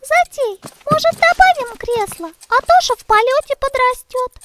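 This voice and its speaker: A playful, easily offended little girl kitten for a children's cartoon. The voice is extremely high and swings widely in pitch. Clicks and noise are clearly heard behind it. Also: animated, moderate pace. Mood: matter-of-fact.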